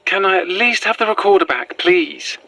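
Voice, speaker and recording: A woman's voice: medium pitch, moderate pace, vocal fry, bright, forceful tone. A woman with a British accent playing an anxious, earnest former teacher on the run in a horror audio drama, hesitant and apologetic. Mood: cautious, pleading.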